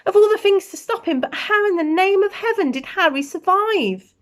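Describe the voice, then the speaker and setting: A woman's voice: very high-pitched, animated, normal speed, fairly bright tone, voice pushed up. A woman voicing an anxious, stern older woman professor in a storybook, with exclaiming, emotional delivery. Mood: anxious, astonished. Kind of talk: storybook character reading.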